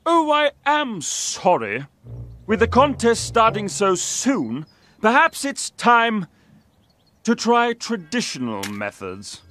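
A man playing a vain, scheming English gentleman hunter in an animated video game, theatrical and haughty. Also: high-pitched, slow pace, a little hiss or hum, exaggerated voice. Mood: smarmy.

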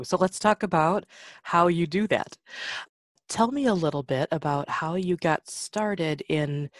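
A woman's voice: sits low, no room sound, studio quality. A woman hosting a podcast, a friendly and encouraging interviewer. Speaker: podcast host.

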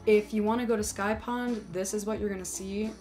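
A woman's voice: medium pitch, fairly even intonation, brisk, darkish tone, faint music behind. Chatty young woman vlogging from a trail. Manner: chatty and explanatory, talking while hiking. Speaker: hiking vlogger. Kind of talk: casual vlog, explaining gear and trail. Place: outdoors on a mountain trail.

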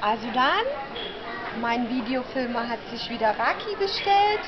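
High, lively woman touring a noisy hotel. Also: unhurried. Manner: polite, showy presenter tone. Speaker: vlogger giving a hotel tour. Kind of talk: chatty presenter narration to camera. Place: busy hotel restaurant and bar, indoors. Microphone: handheld camera mic. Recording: dull camera audio, loud crowd. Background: loud crowd chatter.

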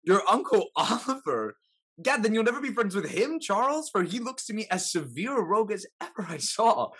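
A breezy young gentleman companion from period comedy, acted by a man. His voice is high and husky, and he is blunt and teasing. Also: big pitch swings, brisk, full character voice. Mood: mocking, breezy. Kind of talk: period comedy stage acting over video call. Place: indoors at home, video call.

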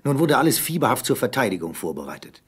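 A man's voice: medium pitch, very animated, fast, husky. A man narrating an adventure radio play in a scripted storytelling style.

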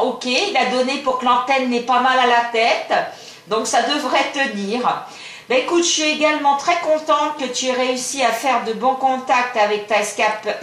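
High-voiced woman in an echoey room. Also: fairly bright tone. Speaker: amateur CB radio operator. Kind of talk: casual radio chat. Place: small radio shack indoors. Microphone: camera filming the radio desk. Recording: echoey room, camera microphone.

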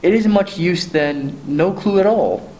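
A man's voice: high-pitched, a little slow, dark tone, poor audio. A man acting a patronizing police sergeant, placating and brusque, in a 1940s-style radio thriller play. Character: dismissive, bored, patronizing male police sergeant. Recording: faint room noise.

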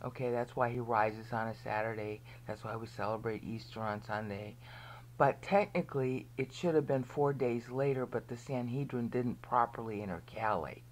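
Low-voiced American woman teaching scripture. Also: normal speed, slight background noise. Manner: rambling, explanatory, often asks okay; drops to a near whisper at times. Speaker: Bible teacher. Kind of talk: screen-share Bible lesson.

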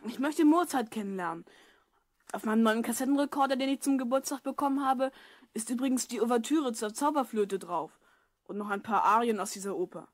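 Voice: mid-range voice, a little fast, dry recording, close to an everyday voice. An actor voicing an eager, curious twelve-year-old boy in a German children's audio drama. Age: child figure, twelve.

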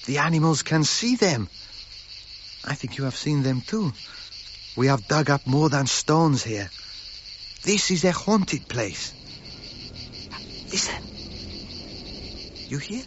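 A man's voice: on the high side, sing-song, slow pace, long pauses. An actor playing a drunk, superstitious Cretan foreman, brooding and ominous in a radio drama. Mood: haunted, ominous. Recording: dull, noisy radio drama recording.

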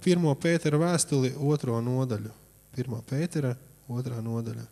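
A man in his thirties interprets a sermon live in short phrases, haltingly, with false starts and corrections. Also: mid-range voice, dark tone. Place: church auditorium stage. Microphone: handheld microphone. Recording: long hall reverb from church PA.

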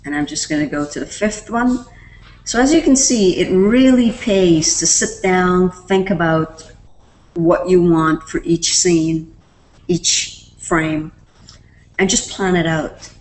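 A woman presenting a screen-recorded software tutorial, explaining each step in a relaxed way with fillers. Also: lowish voice, takes it slowly, low-quality recording. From Canada.

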